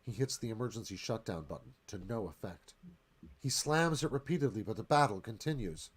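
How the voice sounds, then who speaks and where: A man's voice: fairly low pitch, expressive, brisk, breathy edge. A man narrating scripted scene directions as the narrator of a radio theatre read.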